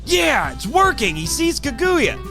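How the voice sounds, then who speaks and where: A man narrating a scripted first-person adventure story in a theatrical, expressive way, acting out his own dialogue as he goes. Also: very high voice, unhurried, over music. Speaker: podcast host narrating an audio story.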